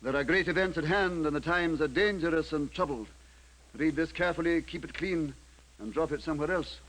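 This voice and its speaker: A man's voice: on the high side, brisk, fairly bright tone, close to an everyday voice, low-quality recording. An actor playing an earnest, fanatical nobleman with a grandiose, solemn manner, in period radio drama style. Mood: fervent, solemn. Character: earnest, fanatical lord leading a crusade.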